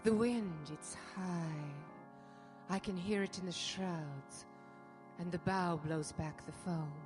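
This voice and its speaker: A woman's voice: fairly low pitch, slow. A woman playing an eerie, haunted young Victorian woman in a hypnotic trance in a live radio horror drama. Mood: entranced, dreamy. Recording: live hall stage with music behind.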